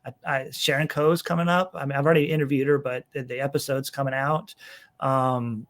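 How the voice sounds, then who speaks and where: A man's voice: mid-range voice, fairly even intonation, brisk. A middle-aged man, a pastor, talking casually as an interview guest, rambling a bit with fillers and easy laughs. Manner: chatty, rambling, laughs easily, many fillers.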